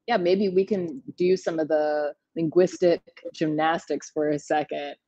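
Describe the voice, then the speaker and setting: A woman moderating a talk, asking long, winding questions in a relaxed conversational way. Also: low voice, a little slow, no echo, lo-fi sound. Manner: long rambling questions with light laughs.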